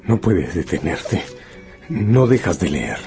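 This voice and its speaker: A man's voice: low-pitched, big pitch swings, slow pace, mellow, full character voice. A man narrating a gothic radio drama in a solemn, suspenseful, literary style. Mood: solemn, hushed. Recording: radio play with music underneath.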